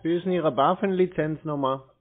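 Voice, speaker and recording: A man's voice: fairly high pitch, fairly quick. A man in a casual phone conversation, dry, laconic and skeptical. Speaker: scam-baiter taking a sales call. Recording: narrow phone-like sound.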